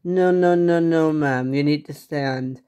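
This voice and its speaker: A performer puts on a karate instructor for a pretend-play story. The voice is medium in pitch and unhurried, with a polite but insistent teaching manner. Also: dark tone, clean tone, muffled recording, lightly acted.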